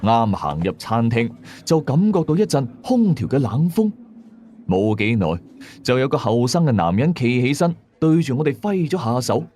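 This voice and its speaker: A man's voice: medium pitch, sing-song, normal speed, a little mellow, slight background noise. A man with a Cantonese accent narrating a scripted first-person ghost story. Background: faint music.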